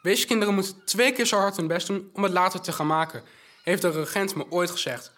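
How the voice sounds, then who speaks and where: An actor playing a bright, polite young orphan messenger boy who eagerly passes on news in an audio drama. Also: high voice, a little fast. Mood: earnest. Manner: polite, informative, recites news in detail.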